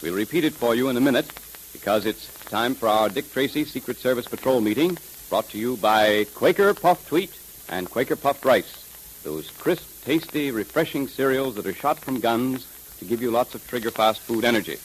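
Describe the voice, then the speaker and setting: A man's voice: medium pitch, lively intonation, moderate pace, poor audio. A man with an American accent announcing in emphatic, polished 1930s radio style, selling as he goes. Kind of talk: 1930s radio announcing, scripted sales pitch. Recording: hissy 1930s radio recording.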